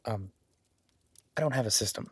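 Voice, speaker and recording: A man's voice: on the low side, slightly rough, no echo, studio quality. Man playing a hesitant, modest young werewolf duke. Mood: hesitant, tired. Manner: hesitant, self-deprecating, informal, halting. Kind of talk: intimate audio roleplay acting.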